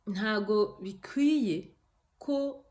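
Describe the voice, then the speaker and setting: A woman, a Kinyarwanda speaker, hosting her own channel and talking earnestly to camera, pausing often with fillers. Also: medium pitch, darkish tone. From Rwanda. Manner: earnest, hesitant with frequent fillers. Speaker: YouTube channel host. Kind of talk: casual talk to camera.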